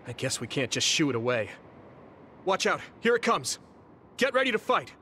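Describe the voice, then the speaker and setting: A man's voice: on the high side, sing-song, normal speed, sharp tone, slight background noise. A man playing a kind, protective young soldier in an anime-style video game, speaking in a friendly, earnest, reassuring way. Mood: alert.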